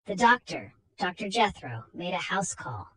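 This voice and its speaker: A woman's voice: fairly low pitch, sing-song, unhurried, pushed and bright, slightly rough, rough recording. A woman narrating a short story in a dramatic storytelling style.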